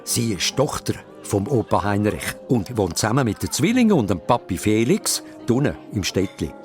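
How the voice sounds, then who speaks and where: A man with a Swiss German accent narrating a children's radio play in an animated storytelling manner. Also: lowish voice, normal speed, soft background music.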